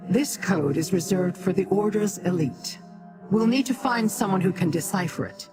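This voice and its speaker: A game actor voicing a haughty, imperious demonic being who talks down to mortals in formal, measured speech. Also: medium pitch, natural voice. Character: haughty, imperious Daedra ally, scornful of mortals. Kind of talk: scripted video game dialogue. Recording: music behind the dialogue.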